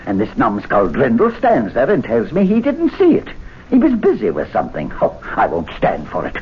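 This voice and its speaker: An actor playing a scornful gentleman club member in a 1970s radio drama, mocking by turns and menacing, on a dull broadcast recording. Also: medium pitch, wildly swooping pitch, normal speed, breathy edge, exaggerated voice. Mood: furious. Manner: mocking, rapturous, then menacing.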